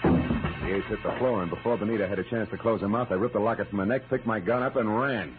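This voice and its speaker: A man's voice: fairly low pitch, fast talker, close to an everyday voice. An American man playing a tough, wisecracking private detective in an old radio drama, clipped and sardonic. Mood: wry, tense narration. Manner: hard-boiled, clipped, sardonic. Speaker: lead actor and narrator. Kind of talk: 1940s-50s radio detective acting. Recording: muffled old radio recording with music.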